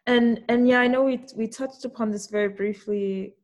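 A woman's voice: fairly high pitch, steady tone, normal speed, a little mellow. A young adult woman hosting a podcast, talking in a reflective, thoughtful way and laughing easily. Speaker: podcast host. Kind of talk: casual conversational interview.

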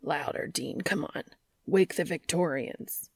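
A woman voicing a bossy, demanding male lover in an audiobook romance, speaking softly and tersely. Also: low-pitched, a little slow, breathy edge, no echo, exaggerated voice. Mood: urging. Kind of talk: audiobook character voice.

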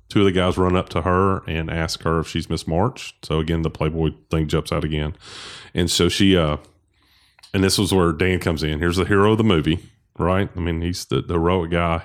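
A man's voice: low voice, fairly even intonation, normal speed. A man co-hosting a podcast, recapping a film in casual chat, full of restarts and self-corrections. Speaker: podcast co-host. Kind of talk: casual movie discussion.

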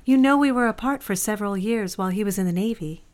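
An actress playing a composed, worldly married office friend in a period drama, poised and big-sisterly. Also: female voice, mid-range voice, fast talker, a little mellow, lightly acted. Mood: matter-of-fact. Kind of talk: period radio drama acting.

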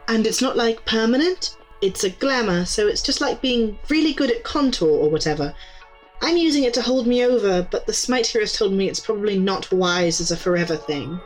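Easygoing young shop girl woman acting, medium pitch, casual explainy, bit of music under her. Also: expressive, fairly quick, close to an everyday voice, rough recording. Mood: explaining. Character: easygoing, helpful young shop clerk selling magic wares. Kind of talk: naturalistic audio drama dialogue.

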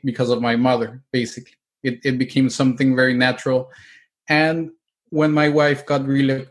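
Steady, even-toned man from El Salvador. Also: medium pitch. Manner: hesitant, many restarts and fillers, explanatory. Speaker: real estate agent and investor. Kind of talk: interview answers.

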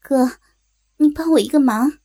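Woman acting young rural wife, high mellow voice, Northeastern Chinese accent, timid, pleading, naive, audio drama. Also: measured pace. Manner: hesitant, pleading.

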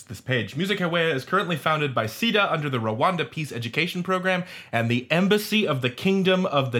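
A male podcast host with a mid-pitched voice. He moves between panel talk and casual scripted narration, with a wry, sardonic manner. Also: a bit bright.

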